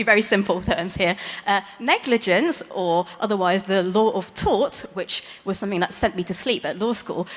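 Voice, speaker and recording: A woman lawyer giving a conference talk, explaining legal ideas plainly with light, self-aware humor. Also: medium pitch. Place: large conference hall stage. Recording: long reverb of a big hall.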